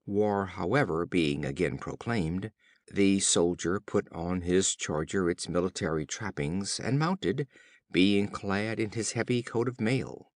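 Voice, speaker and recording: A man narrating children's fables for an audiobook in a measured, formal storytelling manner. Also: deep voice, dry recording, studio quality.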